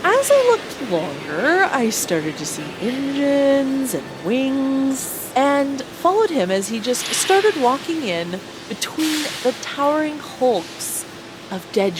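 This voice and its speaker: Woman as young space pilot narrating a radio drama, earnest, very expressive, noisy background. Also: fairly high pitch. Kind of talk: first-person audio drama narration. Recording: clear vehicle ambience behind voice.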